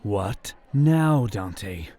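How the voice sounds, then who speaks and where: An actor playing a grumpy, cautious young crusader in a fantasy audio drama, blunt, nagging and exasperated. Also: male voice, on the low side, lively intonation, drawn-out words, very noisy. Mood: irritated. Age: young adult.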